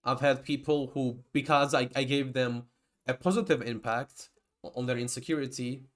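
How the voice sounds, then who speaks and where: A man's voice: mid-range voice, steady tone, moderate pace. A young man, a native Georgian speaker, giving a rambling, casual lecture with many restarts and digressions. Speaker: typology lecturer.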